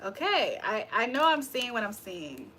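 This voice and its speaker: A woman's voice: a little high, big pitch swings, normal speed, background noise. A woman doing a casual livestream tarot reading, chatting with viewers in slangy talk and reading their comments aloud.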